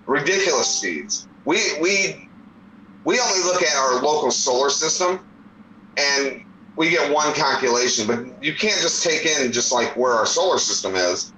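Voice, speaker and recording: A man's voice: mid-range voice, lively intonation, moderate pace, bright, forceful tone, slight background noise. A man in his forties in a casual debate chat, explaining his points at length. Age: 40s. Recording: roomy echo.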